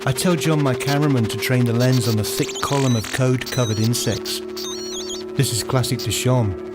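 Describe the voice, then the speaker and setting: A man's voice: medium pitch, poor audio. Man narrating formally over prominent music. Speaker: narrator of a radio play. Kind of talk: scripted first-person literary narration.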